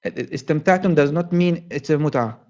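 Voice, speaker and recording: A man with an Arabic accent, a native Arabic speaker, arguing as a caller in halting, repetitive, defensive English over lo-fi call audio. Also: fairly high pitch, warm tone. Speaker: caller in a debate. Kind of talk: argumentative live debate.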